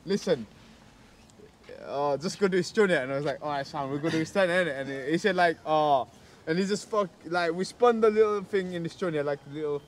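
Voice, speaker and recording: A man's voice: fairly high pitch, a little fast. A young man who grew up in the UK, with a British accent, telling a story in a brash, slangy way and swearing casually. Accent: British English. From United Kingdom.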